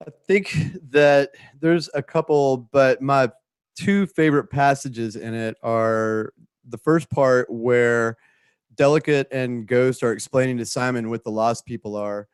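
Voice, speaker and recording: A man's voice: medium pitch, fairly even intonation, a little slow, clear, resonant tone, dry recording. A man speaking casually in an interview as the writer of a show.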